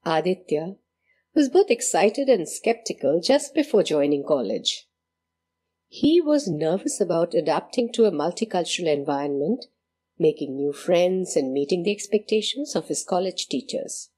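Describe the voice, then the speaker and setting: Dark, breathy woman narrating a documentary. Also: on the low side, sing-song. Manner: measured, storytelling. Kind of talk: scripted narration.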